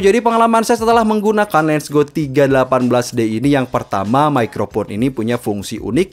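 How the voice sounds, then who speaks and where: Male Indonesian gadget reviewer, scripted narration, mid-pitched voice over music.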